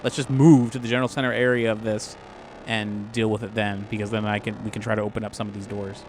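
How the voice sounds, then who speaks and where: A man's voice: on the low side, flat delivery, quick pace, slight background noise. A man playing a tabletop game, talking through plans and repeating himself as he thinks. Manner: talks through plans, stammers and repeats words. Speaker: player. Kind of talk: casual tabletop play.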